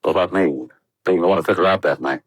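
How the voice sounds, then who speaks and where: An actor playing an earnest, troubled young American test pilot, hesitant and subdued in 1950s radio drama style. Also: male voice, sits low, quick pace, husky, no echo, studio quality. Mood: subdued, worried.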